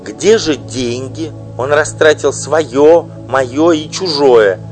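A man voicing an indignant wronged wife who pleads and accuses in a high, loud voice for an audiobook. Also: expressive, unhurried, faint music behind. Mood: pleading. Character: indignant wronged wife, middle-aged woman. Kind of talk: audiobook reading.

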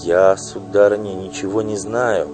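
A man's voice: sits low, fairly even intonation, unhurried, music in the background, pitched above the performer's own voice. Male reader as a whiny, defensive young singer in a storybook, raised voice. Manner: timid, evasive, whining. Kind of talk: audiobook reading.

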